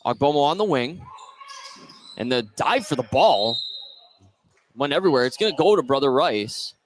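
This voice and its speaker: A man's voice: mid-range voice, lively intonation, normal speed, slight background noise. A man doing live basketball radio play-by-play, narrating each play in short phrases. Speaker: play-by-play commentator.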